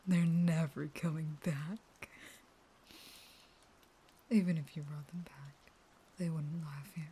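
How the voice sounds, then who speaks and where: A woman playing a slow, whispering, starving vampire lover. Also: sits low, steady tone, dark tone, heavily acted. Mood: bitter, threatening. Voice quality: breathy, mostly whispered. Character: starving caged female vampire, unstable, pleading and threatening lover. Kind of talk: intimate scripted audio roleplay.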